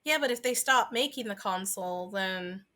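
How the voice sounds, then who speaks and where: A woman's voice: medium pitch, moderate pace, bright, forceful tone. A woman taking part in a podcast, chatting casually. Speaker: podcast participant. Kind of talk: casual chat.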